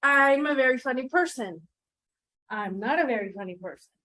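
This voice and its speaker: A woman's voice: sits high, lively intonation, normal speed, bright, forceful tone. A middle-aged woman leading a teaching workshop in a classroom, engaging the group with questions and echoing their answers. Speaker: workshop presenter.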